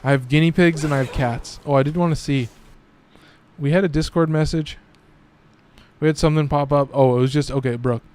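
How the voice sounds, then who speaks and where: A man with a slightly hoarse, raspy voice, giving loose and profane casual commentary while streaming a game. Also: on the high side, steady tone, brisk, pauses often, darkish tone. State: a little hoarse. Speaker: gaming streamer.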